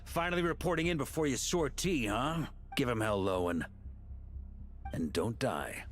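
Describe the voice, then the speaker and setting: A man's voice: mid-range voice, lively intonation, normal speed, natural voice. A man playing a hearty, commanding knight captain in a video game, bold and wry in manner. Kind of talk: dramatic game voice acting. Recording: music behind the voice.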